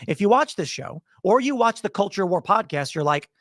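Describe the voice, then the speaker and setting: A man's voice: high-pitched, lively intonation, fairly quick, no echo, studio quality. A man from Chicago giving a political commentary monologue as a podcaster, emphatic and sarcastic in tone.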